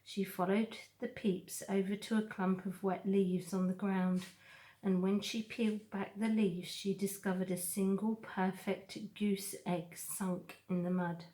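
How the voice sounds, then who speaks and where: A woman narrating a children's novel aloud, with measured and expressive storytelling. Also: medium pitch, low-quality recording. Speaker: storybook reader.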